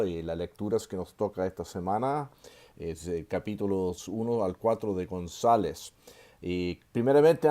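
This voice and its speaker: Mellow-voiced older man lecturing, halting. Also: fairly low pitch. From Chile. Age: 60s. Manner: unhurried, full of filler sounds and restarts; gently joking aside. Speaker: seminary professor. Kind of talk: online course lecture.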